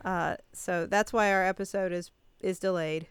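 A woman's voice: on the low side, steady tone, normal speed, clear, resonant tone, no echo. A woman in her thirties co-hosting a podcast in casual chat, laughing often and restarting her sentences. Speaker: podcast co-host. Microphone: podcast microphone.

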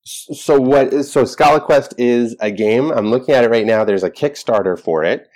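A man's voice: medium pitch, normal speed, darkish tone. A man hosting a casual interview, asking curious questions and rephrasing as he goes. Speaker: interviewer, host.

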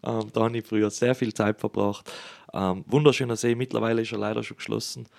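A man with a Tyrolean Austrian accent answers casually as a musician guest. His voice is fairly low with a lot of creak in it. The intonation stays level and flat. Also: fairly quick. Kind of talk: casual interview chat.

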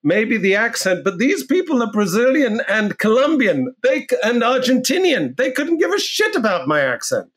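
A man working as a real estate agent, telling stories in a casual interview in a blunt, animated way and swearing freely. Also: very high-pitched, moderate pace, hardly any pauses.

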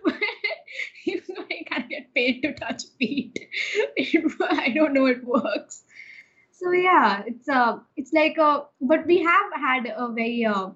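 A woman's voice: fairly high pitch, dull audio. A young adult woman from India, a culture writer, chatting casually with frequent fillers and laughs. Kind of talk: casual panel discussion.

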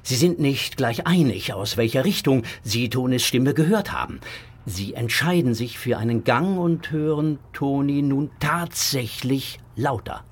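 A man's voice: medium pitch, animated, unhurried, husky. A man narrating a children's audio drama in a scripted storytelling style. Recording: music bed behind narration.

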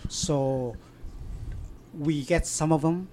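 Mellow-voiced man telling a story haltingly. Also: a little high, slight background noise. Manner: halting, broken English with many fillers and restarts. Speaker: interview guest. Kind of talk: casual storytelling.

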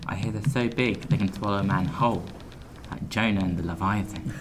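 An actor playing an earnest, curious young sailor in a period radio drama, polite and hesitant. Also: male voice, lowish voice, a little fast, noisy background, natural voice.

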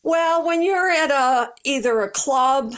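Older American woman interviewee, unhurried storyteller, slightly high voice, very measured pace. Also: fairly bright tone. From Rochester, New York, United States. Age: 70s. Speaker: interviewee, Red Cross veteran. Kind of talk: personal recollection in an interview.

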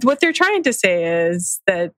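A woman's voice: fairly low pitch, very animated, measured pace, dry recording, clean studio sound. Candid woman, light Pakistani accent. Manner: laughs often, candid and reflective. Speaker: podcast guest. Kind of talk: casual conversation.

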